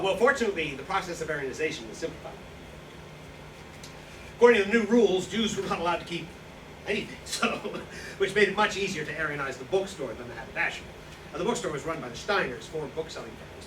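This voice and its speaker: A man playing a self-pitying, self-justifying wartime profiteer in a staged reading, halting and indignant, with nervous chuckles. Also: fairly high pitch, far from the mic, lightly acted. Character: self-pitying, self-justifying Nazi-era profiteer who seized a bookstore. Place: live staged reading in a room. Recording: echoey, noisy hall recorded from afar.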